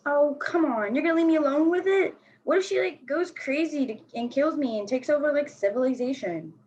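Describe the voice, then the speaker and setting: A woman's voice: sits high, a little fast, a little mellow, natural voice. A woman playing a blunt, slangy, defensive fourteen-year-old girl skateboarder in a staged play reading. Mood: sarcastic. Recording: dull lo-fi recording.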